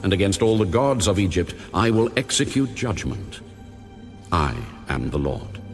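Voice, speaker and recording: An actor playing God as a majestic, stern male lawgiver, making solemn, deliberate pronouncements in a dramatized audio Bible. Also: fairly low pitch, very animated. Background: music.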